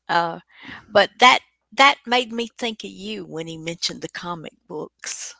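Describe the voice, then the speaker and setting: A woman's voice: fairly low pitch, expressive, slow, vocal fry, sharp tone, no room sound. A woman hosting a radio show in casual, somewhat hesitant conversation. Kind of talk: casual chat.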